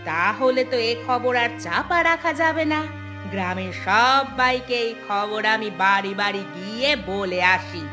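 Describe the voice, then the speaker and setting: A brash village housewife from a cartoon who lives for gossip , acted by a woman. Her voice is high and piercing, delivered at an unhurried pace, and she complains with a sharp tongue. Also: expressive, soft background music, exaggerated voice. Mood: eager, defiant. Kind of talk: cartoon voice acting.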